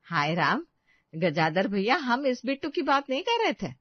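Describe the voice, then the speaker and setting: A woman's voice: a little high, very animated, moderate pace, dry recording, lo-fi sound, voice pushed up. A woman voicing a sensible, mildly scolding woman of the family in a story. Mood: dismayed.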